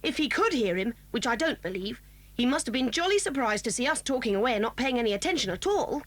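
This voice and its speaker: A woman's voice: on the high side, big pitch swings, fast, no echo. A woman playing an anxious, earnest schoolchild in a children's audio drama, speaking emphatically. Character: anxious, quick-covering schoolchild.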